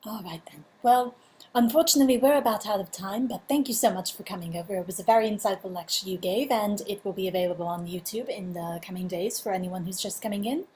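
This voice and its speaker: A woman's voice: mid-range voice, fast talker, a little mellow, slight background noise. A woman moderating an online conference panel, politely thanking the speaker and reading out an audience question, heard over a video call.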